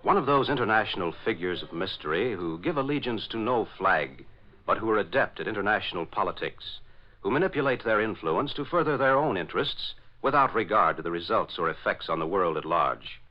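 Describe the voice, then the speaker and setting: A man's voice: lowish voice, expressive, a little fast. An American man announcing and narrating in scripted 1940s radio style, with formal, dramatic enunciation. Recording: dull lo-fi 1940s radio broadcast.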